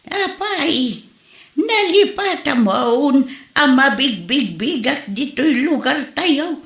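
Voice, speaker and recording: A woman playing a scolding, teasing woman in an Ilocano comedy audio drama, nagging in a drawn-out way with an Ilocano accent. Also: high-pitched, animated, a bit bright, heavily acted. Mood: mocking. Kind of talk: comic audio drama acting. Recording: echoey room.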